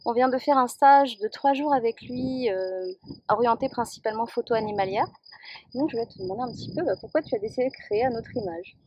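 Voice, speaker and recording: A woman's voice: a little high, fast, darkish tone, highs cut off, a little hiss or hum. A woman interviewing a nature guide outdoors, asking chatty questions with fillers. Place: outdoors at a scenic overlook.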